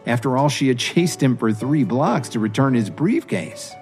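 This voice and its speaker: A man's voice: medium pitch, expressive, moderate pace, a little mellow. A man reading scripted story narration for an audio series. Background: music.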